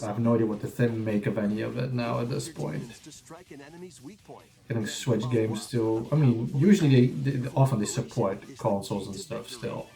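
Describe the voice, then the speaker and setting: A man's voice: lowish voice, creaky, dark tone. A man who is sick and sniffling, chatting casually and offhandedly while reacting to video game announcements. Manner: rambling, offhand, sometimes wry. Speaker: gaming reaction streamer. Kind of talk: casual live reaction chat. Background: faint trailer music.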